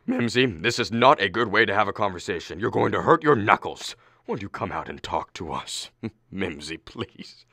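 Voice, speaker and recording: A man playing an exasperated, blustering middle-aged father and husband in a radio comedy play, halting and strained. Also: fairly low pitch, animated, pushed and bright, no echo, studio quality, full character voice. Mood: coaxing. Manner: halting, throat-clearing, erupting when frustrated. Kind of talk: stage comedy acting for radio.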